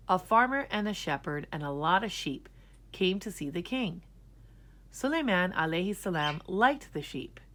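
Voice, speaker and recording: Bright, slow-paced woman reading a storybook. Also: fairly low pitch. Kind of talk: children's storybook read-aloud.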